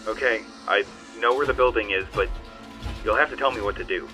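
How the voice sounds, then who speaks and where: A man voicing a steady, determined male paleontologist with clipped, practical lines in a fan audio drama. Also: lowish voice, a little fast, soft background music. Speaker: voice actor.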